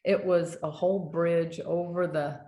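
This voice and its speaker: Low-voiced older woman telling lively stories. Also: measured pace. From United States. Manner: animated anecdotes with laughter. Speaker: dental hygienist and educator. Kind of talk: casual interview storytelling. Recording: echoey room, faint noise.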